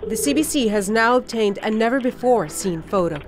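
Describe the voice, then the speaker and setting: A woman news correspondent reading a scripted documentary report in a measured, dramatic storytelling way. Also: fairly high pitch, animated, noisy background. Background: music bed under the voice-over.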